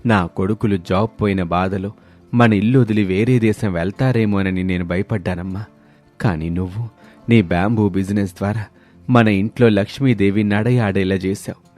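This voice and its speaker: A grateful old village father-in-law played by a male narrator speaks low and earnestly. He is affectionate and emotional, a storybook family elder, with music underneath. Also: fairly even intonation, normal speed, a little mellow, natural voice. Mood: grateful, moved.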